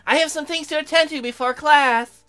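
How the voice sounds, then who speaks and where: High-pitched male take on a perky game shark schoolgirl, courteous class-president type, formal and welcoming. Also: moderate pace, pushed and bright. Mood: bright, polite.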